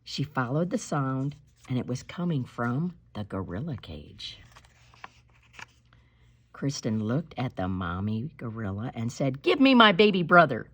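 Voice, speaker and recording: A woman narrates a picture book for children. Her pitch swings widely as she tells the story with drama and strong emphasis. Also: animated, unhurried, darkish tone, breathy edge. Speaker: storybook reader. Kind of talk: animated read-aloud children's narration.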